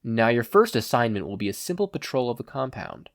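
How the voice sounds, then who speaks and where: A man's voice: medium pitch, very animated, fairly quick, gravelly, no room sound, studio quality. A man voicing a brusque, businesslike male crime boss with a curt, commanding manner. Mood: cool, commanding.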